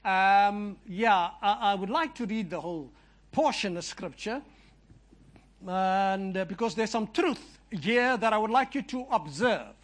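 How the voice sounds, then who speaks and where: A man's voice: high voice, measured pace. A man whose first language is Afrikaans, preaching and praying fervently in a church, with chatty asides to the people there. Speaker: visiting preacher. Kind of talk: sermon and prayer.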